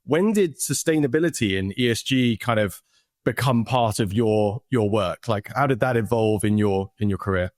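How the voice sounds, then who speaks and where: A man's voice: fairly low pitch, moderate pace, darkish tone, no echo, clean studio sound. A man hosting an interview podcast, effusive and eager, often repeating words and restarting sentences as he asks questions. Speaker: podcast host.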